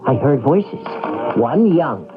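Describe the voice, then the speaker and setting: A musing, chatty coin narrating a vintage radio tale. His delivery is animated, with dramatic pauses. It is a dull, lo-fi broadcast with clear music behind. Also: medium pitch. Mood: excited, wondering. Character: talking silver dime, chatty, wondering storyteller.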